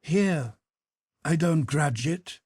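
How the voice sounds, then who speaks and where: A man's voice: on the high side, expressive, drawn-out words, a little mellow, husky, dry recording, studio quality, voice pushed up, full character voice. A man reading aloud voices a timid young woman servant who speaks with hesitation and a marked accent.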